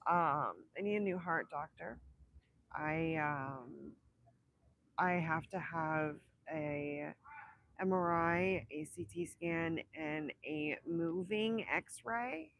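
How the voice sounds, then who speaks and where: Raspy, slow-talking woman. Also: sits low, a bit bright. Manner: rambling, self-interrupting, laughs often. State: raspy from illness. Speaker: livestream host. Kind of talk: casual live chat with viewers.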